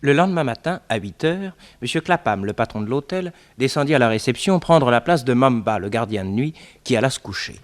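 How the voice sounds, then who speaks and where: A man's voice: mid-range voice, expressive, brisk, hardly any pauses. A man reading scripted narration for a French radio detective drama.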